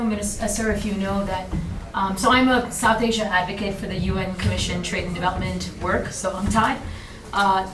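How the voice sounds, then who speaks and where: Woman panelist in a noisy, echoing room. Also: medium pitch. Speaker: panelist, advocate for women entrepreneurs. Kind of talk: informal panel answer. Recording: echoey room, distant mic, clear noise.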